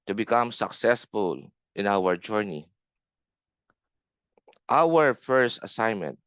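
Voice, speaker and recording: Slow, earnest man. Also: fairly low pitch, clean tone, no echo. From Philippines. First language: Filipino. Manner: earnest, measured, rising in emphasis on key words. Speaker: club member giving an impromptu speech. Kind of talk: impromptu speech on a video call. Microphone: video call.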